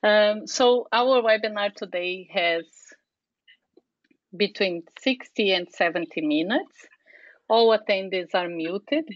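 A woman's voice: medium pitch, no echo. A woman moderating a webinar, announcing in a friendly, straightforward way over a remote call microphone. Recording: dull, dry call audio.

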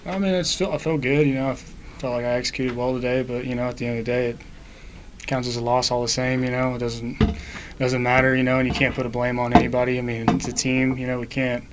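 A man's voice: medium pitch, little pitch movement, fast talker, background noise. A man in his twenties, a college baseball player, giving matter-of-fact answers at a postgame press conference. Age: 20s. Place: indoor press room.

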